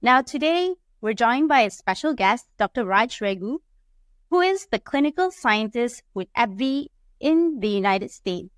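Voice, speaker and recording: A woman's voice: a little high, big pitch swings, unhurried, dry recording, studio quality. A woman hosts a podcast, reading a scripted intro and then asking chatty questions with fillers. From Malaysia. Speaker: podcast host. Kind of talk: podcast interview.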